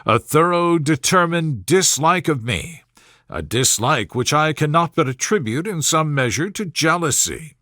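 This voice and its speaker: A man voicing an aggrieved young Regency gentleman in a storybook, smooth-talking and formal, with measured, wounded dignity. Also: a little high, expressive, dry recording, clean studio sound. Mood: confiding, resentful. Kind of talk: storybook character voice in audiobook reading.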